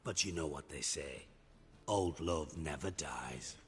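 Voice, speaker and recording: An actor playing a shrewd, sardonic crime boss in a video game, blunt and mockingly polite. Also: male voice, a bass voice, slow, in a large hall. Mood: smug, scheming.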